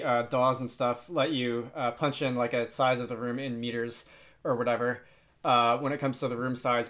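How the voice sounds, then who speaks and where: Man, tutorial host, mid-range voice, casual explaining, hesitant, thinking out loud, wry asides. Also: mid-range voice. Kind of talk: casual screen-recorded software tutorial.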